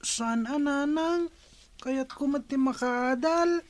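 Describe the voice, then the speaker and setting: A woman's voice: high-pitched, slow pace. A woman playing a meek, apologetic young schoolboy in a radio drama, timid in manner.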